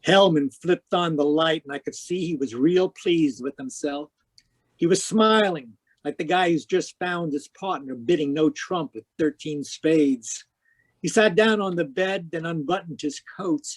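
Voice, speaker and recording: An actor playing a cynical, wry private eye in terse, slangy 1940s radio detective style. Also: male voice, on the high side, a little slow. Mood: wry, world-weary. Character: cynical wisecracking male hardboiled private eye.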